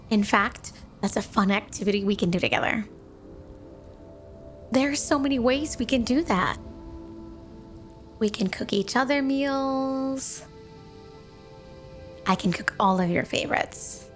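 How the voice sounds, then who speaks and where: A woman's voice: a little high, natural voice. A woman voicing a sweet, obsessively devoted yandere snow woman wife in a flirty, doting ASMR roleplay spoken to the listener. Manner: sugary, doting, flirty, clingy. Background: music.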